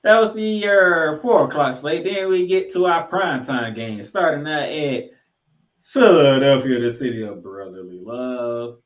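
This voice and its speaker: A man hosting a sports podcast, giving football commentary in an emphatic way, with dramatic pauses and repeated phrases. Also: fairly high pitch.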